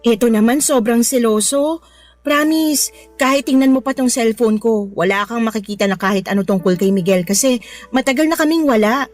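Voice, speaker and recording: A woman's voice: on the high side, normal speed, faint music behind, lightly acted. An actress playing a young, sweet-talking girlfriend who coaxes and placates her partner in a Tagalog radio drama. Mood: coaxing, sweet. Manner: coaxing, cajoling.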